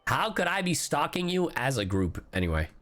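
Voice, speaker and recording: A man reacting casually as a live game streamer, expressive, campy and sweary. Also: a little high, fairly quick.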